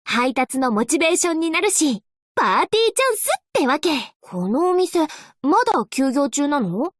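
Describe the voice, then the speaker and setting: A woman playing an upbeat, playful young angel courier girl in a Japanese anime game, with a perky, teasing, expressive delivery. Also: sits high, moderate pace, no echo, clean studio sound. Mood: chatty, easygoing.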